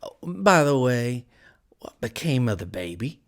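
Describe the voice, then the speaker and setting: A mad, grinning storybook cat, speaking extremely slowly and stretching each word. The pitch swoops about in a mischievous, teasing way, with a breathy, sometimes whispery edge. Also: sing-song. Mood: sly, casual. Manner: drawn-out words, swooping and teasing. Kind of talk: storybook character voice.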